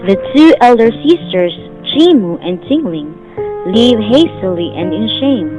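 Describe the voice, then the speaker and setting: A woman's voice: on the high side, lively intonation, unhurried, over music. A woman narrating stage directions for a drama in a scripted storytelling style. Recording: dull, lo-fi recording.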